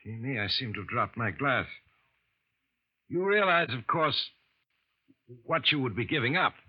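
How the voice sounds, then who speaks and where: A man playing a calm, probing lawyer who speaks in a courteous, measured, faintly suspicious way. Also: mid-range voice, husky. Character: polished older male lawyer, calm and probing. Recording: muffled 1950s radio drama, slightly off-mic.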